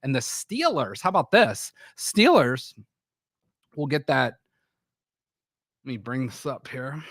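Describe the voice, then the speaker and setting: A man's voice: medium pitch, sing-song, slow, fairly bright tone, no echo. A man hosting a sports talk show, with a scratchy voice from a sore throat, rambling with frequent restarts. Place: small studio.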